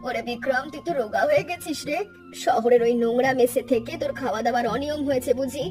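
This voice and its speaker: Fussy, doting cartoon village mother female performer, brisk, music underneath. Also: high voice, a little mellow, close to an everyday voice. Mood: fussing affectionately. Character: doting, fussing village mother of grown son. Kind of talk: Bengali cartoon story dialogue.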